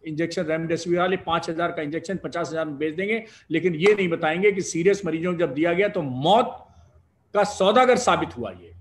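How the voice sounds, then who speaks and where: A middle-aged male doctor from India speaks as a guest in a live discussion, laying out medical advice. His voice sits fairly high for a man, and he argues his points with emphatic, rhetorical exclamations. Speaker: doctor and professor of respiratory medicine.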